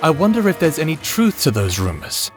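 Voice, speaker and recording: A gloating, manic necromancer villain. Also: male voice, on the high side, wildly swooping pitch, fairly quick, soft background music. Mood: quiet menace. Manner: theatrical, taunting, gloating. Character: vengeful male necromancer, manic, gleefully sadistic escaped prisoner. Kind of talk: dramatic audio roleplay acting.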